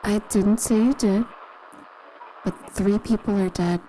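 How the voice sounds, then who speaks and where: An actress playing a calm, measured female interrogator who gently probes with questions in an audio drama. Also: medium pitch, natural voice, poor audio. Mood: calm, probing. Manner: measured, controlled, gently probing. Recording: clearly audible background noise.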